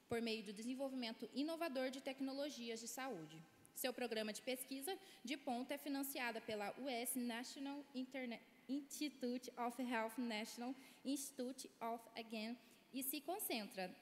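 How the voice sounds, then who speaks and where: A woman hosting an event reads a formal introduction from a script, with an occasional slip. Her voice sits fairly high. The recording is echoey, with a long hall reverb and faint room ambience. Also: fairly bright tone, a little hiss or hum. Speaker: event host introducing the speaker. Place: large auditorium.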